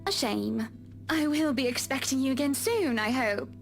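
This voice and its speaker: Man doing a teasing married woman, coy falsetto, high-pitched, soft game music behind. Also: animated, normal speed, creaky, full character voice. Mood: flirty teasing. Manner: playful, coy, sing-song falsetto.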